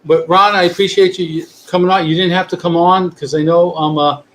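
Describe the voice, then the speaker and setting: A man's voice: high voice, a little fast, slight background noise, poor audio. Chatty New man hosting. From New York. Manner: rambling, relaxed, many restarts. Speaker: podcast host. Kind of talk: casual chat. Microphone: video call.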